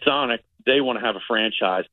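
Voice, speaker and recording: Male radio guest critic, rapid conversational delivery, chatty and opinionated, mid-pitched, dry sound. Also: no echo, narrow, tinny audio. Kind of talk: casual radio movie review chat.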